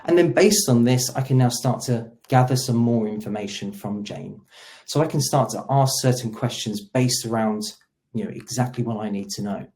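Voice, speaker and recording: A man's voice: mid-range voice, moderate pace, warm tone. A man hosting a webinar, presenting a product demo in an explanatory way and stressing the starts of his sentences. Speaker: webinar host; solution engineer.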